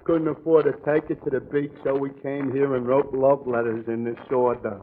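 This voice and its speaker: An actor playing a dim-witted, earnest tavern regular in an old radio comedy. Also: male voice, medium pitch, level tone, normal speed, lightly acted. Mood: sentimental. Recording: very noisy, dull old radio recording.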